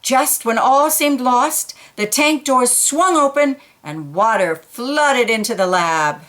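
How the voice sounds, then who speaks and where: A woman reading a children's picture book aloud, pausing for quiet asides about the pictures. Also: fairly high pitch, expressive. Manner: slow, gentle, pointing out pictures in quiet asides.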